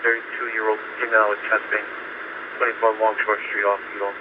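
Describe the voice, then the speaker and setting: A man speaks over a tinny, narrowband scanner with faint static. He is a dispatcher rattling off addresses, numbers and codes quickly in a clipped, procedural way, at a middling pitch. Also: flat delivery. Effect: two-way radio, heard over scanner. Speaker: emergency radio dispatcher. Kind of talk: terse radio dispatch traffic.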